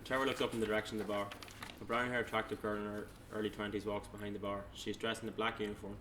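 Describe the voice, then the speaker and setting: A man's voice: on the low side, little pitch movement, rapid-fire, background noise. A man narrating stage directions at a table read, plainly, with small stumbles as he reads.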